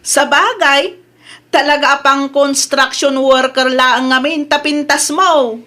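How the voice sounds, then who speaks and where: Woman acting vain, high-pitched young Filipina daughter. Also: fairly even intonation, takes it slowly, sharp tone, full character voice. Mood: haughty. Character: vain, haughty young Filipina daughter who scorns poor suitors. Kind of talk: Ilocano comedy audio drama acting.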